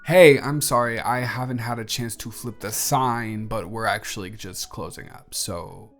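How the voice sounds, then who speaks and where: A man's voice: lowish voice, a little slow. A young man playing a chatty, friendly barista who is sweet on a friend, in an intimate one-sided audio roleplay with casual, slightly stammering delivery. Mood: friendly, welcoming. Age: young adult. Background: music.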